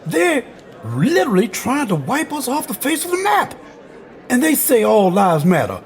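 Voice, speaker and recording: A man's voice: very high voice, wildly swooping pitch, normal speed, heavily acted. A man playing a frustrated, outspoken neighborhood resident in an audio drama, exasperated and cynical.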